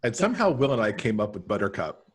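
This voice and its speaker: A man's voice: lowish voice, very animated, quick pace, noisy background. A middle-aged man, a guest novelist, chatting casually about his writing on a video call from home. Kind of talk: casual chat about own book.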